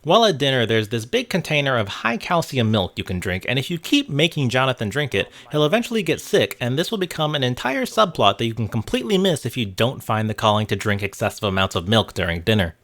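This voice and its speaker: A man's voice: mid-range voice, big pitch swings, fast, hardly any pauses, fairly bright tone. A man narrating a scripted video essay in a wry, conversational way with casual asides, sometimes casually sweary. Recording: background music under narration. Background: music.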